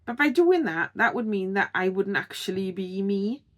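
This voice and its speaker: A middle-aged woman with a strong Welsh accent hosts her own channel and talks straight to the viewer. Her voice sits at an ordinary, mid pitch. She sounds encouraging and chatty, often checking in with a friendly "okay?". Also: expressive, moderate pace. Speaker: YouTube channel host. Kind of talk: direct-to-camera tips video.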